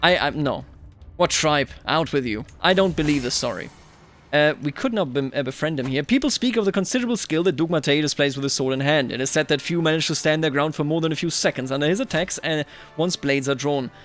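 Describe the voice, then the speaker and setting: A man with a slightly high voice hosts a strategy game roleplay video. He thinks out loud as he plays and slips into theatrical address to the game's characters. Music plays softly behind his commentary. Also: fairly quick. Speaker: gaming video host.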